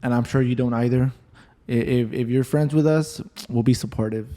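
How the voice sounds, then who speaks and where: A man's voice: mid-range voice, normal speed, dark tone. A man in his twenties co-hosting a casual podcast, chatting with a friend in slangy, swear-peppered banter. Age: 20s. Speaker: podcast co-host.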